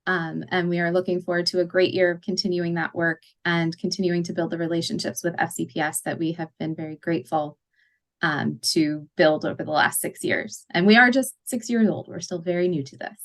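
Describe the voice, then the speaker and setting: A woman's voice: lowish voice, steady tone, brisk. A woman hosting an online parent group meeting, talking casually with fillers and introducing speakers.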